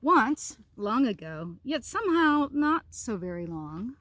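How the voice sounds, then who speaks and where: Bright-voiced woman reading a storybook. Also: on the high side, big pitch swings, slow pace. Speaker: storyteller, pastor. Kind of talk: read-aloud of a children's picture book with casual asides. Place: outdoors in a park.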